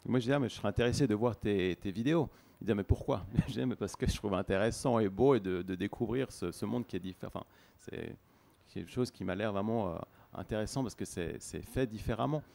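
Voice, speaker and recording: A man's voice: fairly low pitch, fast talker, gravelly, darkish tone. A man speaking earnestly and hesitantly in a group discussion, with many restarts. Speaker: participant in a discussion.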